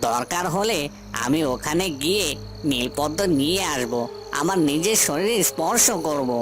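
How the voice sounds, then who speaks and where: Man acting an earnest, higher-voiced cursed prince. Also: expressive, moderate pace, music in the background, close to an everyday voice. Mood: surprised, eager. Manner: earnest, pleading, respectful. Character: earnest, pleading young prince cursed out of human form. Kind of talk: dubbed cartoon fairy-tale acting.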